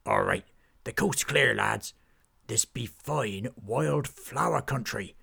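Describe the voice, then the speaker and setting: A man voicing a boisterous pirate captain, loud and gruff with hearty pirate talk, in a storybook tale. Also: mid-range voice, lively intonation, slow, exaggerated voice. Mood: bellowing. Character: boisterous male pirate captain, flower lover.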